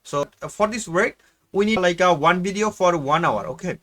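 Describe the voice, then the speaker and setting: A man's voice: sits high, normal speed, fairly bright tone. A man from Bangladesh, an agency representative on a sales call, agreeable and speaking in broken grammar. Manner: agreeable, broken English grammar, says "okay, sure" a lot.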